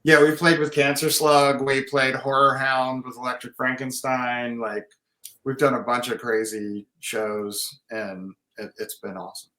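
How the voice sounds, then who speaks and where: A man with a husky voice, a band frontman talking casually in an interview, rambling with many restarts. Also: medium pitch, flat delivery, unhurried, bright, forceful tone, roomy sound.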